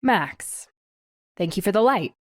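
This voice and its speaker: A woman's voice: medium pitch, expressive, slow, no room sound, clean studio sound. A woman playing a guarded, determined young mother in an audiobook drama, speaking with restraint and firmness. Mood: guarded calm. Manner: restrained, firm. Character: guarded, determined super-powered mother standing up to ex-husband. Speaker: audiobook voice actor.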